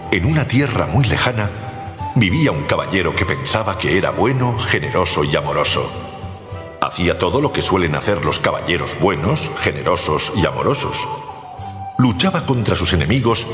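A man narrating a storybook tale for radio in an expressive, theatrical way. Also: sits low. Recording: echoey long reverb, music behind.

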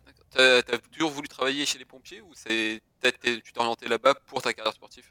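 A man's voice: mid-range voice, fairly even intonation, quick pace, bright, forceful tone, no room sound. A man hosting a podcast interview, asking questions casually with loose, restarting phrasing.

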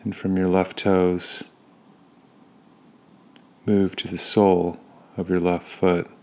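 A man leading a guided meditation in a calm, soothing manner, pausing between short phrases. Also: deep voice. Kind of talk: guided body scan meditation.

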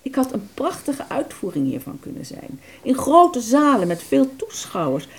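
A woman in a Dutch radio play voicing an aborted idea, a bitter vanished thought, telling its tale dramatically with slow emphases. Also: high voice, wildly swooping pitch, slightly rough, exaggerated voice. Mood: rueful.

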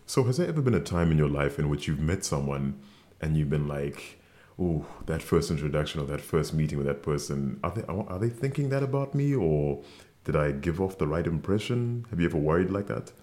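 A young man with a deep voice, co-hosting a casual podcast chat and teasing his co-host. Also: big pitch swings, fairly quick, hardly any pauses, darkish tone. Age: young adult. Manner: teasing, jokey.

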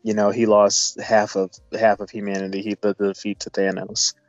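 A man with a lowish, very even voice chats casually about a film as co-host. He repeats himself and pads his sentences with filler. The recording is dry and a little dull. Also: normal speed, dry recording. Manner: repetitive, filler-heavy, says "you know" often. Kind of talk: casual movie review chat.

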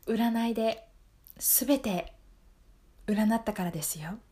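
A woman playing a calm, all-knowing fortune-teller in an improvised radio drama, speaking in a hushed, measured, polite and reassuring way. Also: a little high. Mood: confident.

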